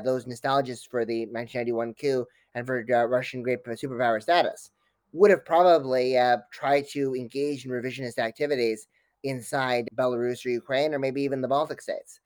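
A man's voice: medium pitch, a little mellow, dry recording. A man speaking as an expert interview guest, giving analytical answers in long, detailed, emphatic sentences. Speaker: academic guest, foreign policy expert.

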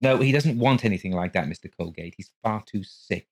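A man's voice: lowish voice, lively intonation, fairly quick. A man reading an audiobook, voicing an exhausted mother who speaks firmly and keeps her voice level. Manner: firm, trying to keep level. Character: exhausted, anxious young mother. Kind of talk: audiobook character voice.

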